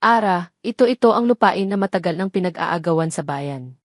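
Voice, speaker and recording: A woman voicing an earnest, serious man from a wealthy family in an audio drama, explaining things gravely. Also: on the low side, lively intonation, moderate pace, no room sound, clean studio sound. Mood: grave, intent.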